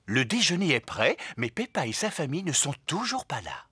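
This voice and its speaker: A woman's voice: deep voice, sing-song, a little fast, fairly bright tone, slightly rough, no echo, studio quality. A woman reading scripted narration for a young children's cartoon as its storyteller.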